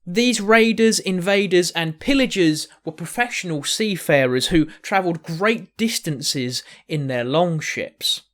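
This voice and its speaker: A man's voice: sits high, animated, a little slow, hardly any pauses, fairly bright tone. A man hosting a history channel, narrating casually with jokey asides to the viewers.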